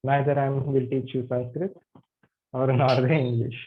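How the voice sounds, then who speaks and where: A man's voice: medium pitch, little pitch movement, normal speed, slight background noise. A man with an Indian accent teaching an online yoga anatomy class, friendly and asking his students questions. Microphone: video call. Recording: tinny video call audio.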